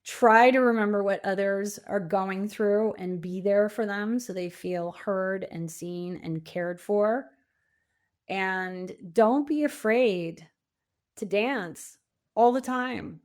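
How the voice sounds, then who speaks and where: A woman in her fifties answering as an interview guest, talking conversationally in an animated, encouraging and emphatic way. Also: medium pitch, slow pace. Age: 50s. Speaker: podcast guest, coach and speaker.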